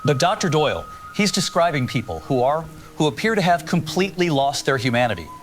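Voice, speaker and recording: Actor as a higher-voiced, earnest radio news host. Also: male voice, big pitch swings, fairly quick, faint music behind. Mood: pressing. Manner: courteous, earnest, pressing. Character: concerned, probing male radio news host. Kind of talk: live call-in news show in an audio drama.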